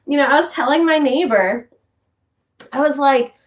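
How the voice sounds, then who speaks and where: A woman's voice: high-pitched, moderate pace, lo-fi sound. A woman hosting a casual morning vlog from home, talking in a chatty, rambling way while a little stuffy from sinus trouble. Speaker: vlog host, voice worker. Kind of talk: casual morning chat to viewers.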